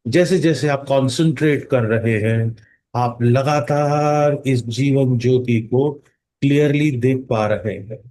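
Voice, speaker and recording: A man guides a meditation very slowly in a soft-edged, mellow voice. He gives calm instructions, stretching words out and leaving long pauses between phrases. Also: medium pitch. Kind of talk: guided meditation in Hindi mixed with English phrases.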